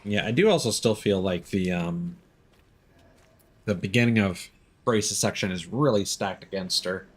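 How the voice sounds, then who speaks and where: Low-voiced man musing through a game stream. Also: a little slow, breathy edge. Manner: musing, thinking aloud. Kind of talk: casual live gameplay commentary.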